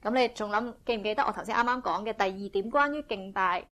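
A woman interprets a sermon phrase by phrase, quick and fluent. Her pitch sits in an ordinary middle range, and she rattles through each line at a brisk clip. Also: a bit bright.

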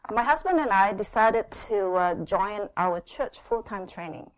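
A middle-aged woman from Indonesia gives a church testimony at an unhurried pace. She talks in short phrases broken by pauses, "uh" and "yeah", and laughs lightly now and then. Her voice sits at a middling pitch. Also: animated, poor audio. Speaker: guest speaker sharing her testimony. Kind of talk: church testimony with consecutive interpretation.

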